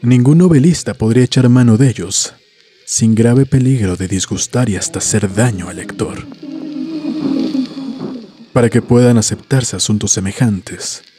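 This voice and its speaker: A man narrating a scripted horror story in a solemn, deliberate, dramatic way, letting phrases trail off softly. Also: medium pitch. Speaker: host and narrator of a story series. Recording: music behind the voice. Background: music.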